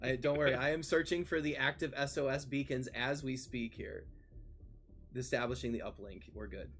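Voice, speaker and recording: A man with an average-pitched voice hosts a casual chat while playing a game. He talks in a rambling, slightly flustered way. Faint music plays behind him. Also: fairly even intonation, moderate pace, fairly bright tone. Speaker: host.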